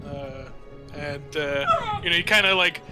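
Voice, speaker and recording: A man playing a tabletop game, casually describing his character's actions in a halting, thinking-aloud way. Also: on the high side. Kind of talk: casual improvised role-play chat. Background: background music.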